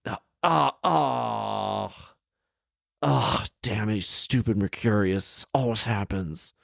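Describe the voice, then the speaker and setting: Storybook genie, male, played by the narrator: low-voiced, impulsive wheeler-dealer with casual comic delivery, dry close sound. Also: slow, gravelly, dry recording, full character voice. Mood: whining, pleading. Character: male genie, impulsive, pleading, bargaining, sheepishly apologetic.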